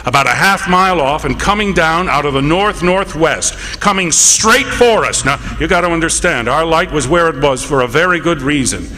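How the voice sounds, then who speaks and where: A man acting a plain-spoken lighthouse keeper who tells his tale in a halting, reflective way, as radio theatre narration. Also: fairly high pitch, expressive, pushed and bright. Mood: tense, suspenseful.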